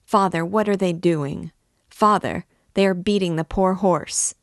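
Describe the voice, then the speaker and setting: A woman's voice: lowish voice, unhurried, no room sound, clean studio sound. A woman voicing a horrified little boy, crying out in distress and pleading, in an audiobook. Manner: distressed, crying out to his father. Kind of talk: audiobook character voice.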